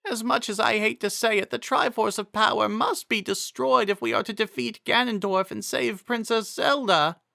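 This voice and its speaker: A man's voice: high voice, normal speed, gravelly, hardly any pauses, sharp tone, dry recording, studio quality, pitched above the performer's own voice, exaggerated voice. A man voicing an anxious, loyal old woman attendant in a dramatic fantasy storybook, urgent and solemn. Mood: grave.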